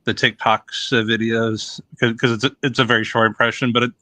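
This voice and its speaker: A man's voice: mid-range voice, flat delivery, bright, forceful tone, no echo. A man chatting casually on a group call, stumbling over his words with many fillers. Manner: halting, many fillers and restarts. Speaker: guest, YouTuber. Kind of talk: casual chat.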